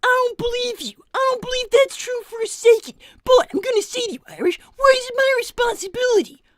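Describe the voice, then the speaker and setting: Woman voicing a very high-pitched, defensive young cartoon fox. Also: quick pace. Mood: defensive, exasperated. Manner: casual, argumentative, defensive. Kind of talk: improvised in-character livestream roleplay.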